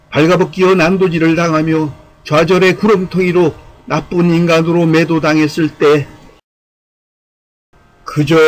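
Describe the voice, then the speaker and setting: A man's voice: a little high, rough recording. A man delivering scripted commentary in a formal, speech-like way, with an earnest, rhetorical, declamatory manner. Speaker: YouTube channel commentator.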